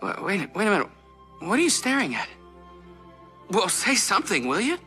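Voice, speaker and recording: A man playing a gallant, boyish young fairy prince in an animated fairy tale, courteous, eager and easily flustered. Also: high-pitched, normal speed, soft background music, exaggerated voice. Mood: startled, coaxing.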